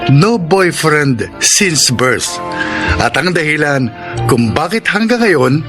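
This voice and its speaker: A man with a Filipino accent announcing a scripted radio drama in a formal, measured, dramatic way. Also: a little high, sing-song. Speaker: programme host and announcer. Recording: music bed behind voice.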